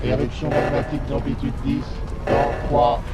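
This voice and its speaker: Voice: medium pitch, flat delivery, moderate pace, lightly acted. A man playing the computer of a space base, giving formal, impassive status announcements. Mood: impassive alert. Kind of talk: formulaic system announcements. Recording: music bed behind the voice.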